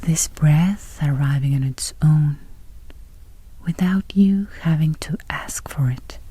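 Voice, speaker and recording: A woman guiding a meditation in a soft, breathy near-whisper, speaking gently and soothingly. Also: deep voice, slow, poor audio. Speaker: meditation guide. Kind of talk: guided meditation.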